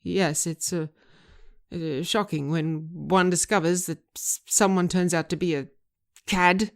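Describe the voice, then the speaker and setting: A woman's voice: fairly low pitch, steady tone. A woman voicing a flustered, politely awkward man who stammers and hesitates in a scripted audio drama. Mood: uncomfortable. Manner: halting, stammering.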